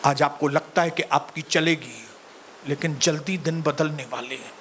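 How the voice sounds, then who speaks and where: A male pastor preaching in a high, bright voice at an unhurried pace. His delivery swells into forceful calls to the congregation. Faint background noise sits under the recording. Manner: soft, low, slow in prayer. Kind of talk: sermon and closing prayer.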